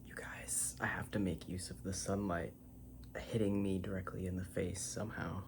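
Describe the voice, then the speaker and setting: A soft-spoken ASMR creator rambles playfully in a breathy, often whispered voice, close to the microphone, sometimes in a mock-grandiose way. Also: sits low, flat delivery, normal speed, noisy background.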